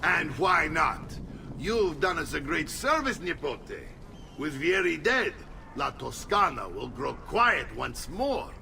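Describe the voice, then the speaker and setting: A man with an Italian accent playing a gruff, hearty middle-aged uncle and fighting leader in a video game cutscene. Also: on the high side, big pitch swings, slow pace, slight background noise, full character voice. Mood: calm, firm. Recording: loud orchestral game music behind.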